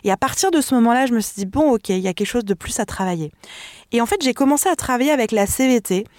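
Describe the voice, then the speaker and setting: Expressive French-speaking woman vocal coach. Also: a little high, quick pace, no echo, clean studio sound. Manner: expressive, laughs easily, frequent restarts. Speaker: vocal mentor and singer. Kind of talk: radio interview guest.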